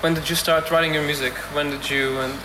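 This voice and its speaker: Halting male interviewer in an echoey room. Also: fairly high pitch, flat delivery. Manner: halting, searching for words. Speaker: radio interviewer. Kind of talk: informal interview. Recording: echoey room with noticeable noise.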